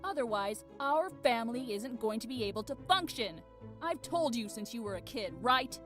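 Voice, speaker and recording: A woman's voice: fairly high pitch, expressive, moderate pace, fairly bright tone. A woman voicing a strict mother in a visual novel, with a firm, lecturing manner. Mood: stern, composed. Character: strict, nagging mother laying down the law. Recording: background music behind voice.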